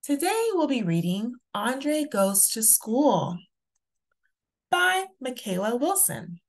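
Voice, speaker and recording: A woman reading a children's picture book aloud in a deliberate, expressive storytelling manner. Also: a little high. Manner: slow, deliberate, expressive delivery for young children. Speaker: children's story reader and channel host.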